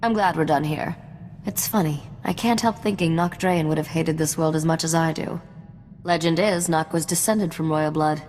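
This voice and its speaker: A woman's voice: deep voice, moderate pace, darkish tone, in a large hall, faint music behind, close to an everyday voice. An actress playing a shrewd, self-assured woman mechanic among space smugglers, with a dry, sardonic manner, in a video game. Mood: wry. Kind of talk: video game cutscene acting.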